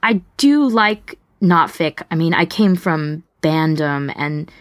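A woman's voice: sits low, animated, takes it slowly, dry recording, clean studio sound. A woman co-hosting a casual podcast discussion, chatty and explaining her thoughts at length. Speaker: podcast co-host.

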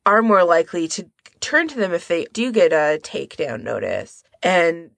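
A woman's voice: fairly low pitch, very animated, normal speed, vocal fry, a bit bright, no room sound. A woman co-hosting a podcast in a casual discussion style. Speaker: podcast co-host.

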